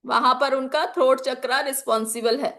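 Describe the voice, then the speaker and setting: A woman's voice: high voice, fairly even intonation, normal speed, a bit bright. A woman with a sore throat teaches an informal online class, explaining with examples, repeating key phrases and mixing in English terms. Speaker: teacher of an online class on chakras and magical oils. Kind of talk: informal spoken lesson with examples.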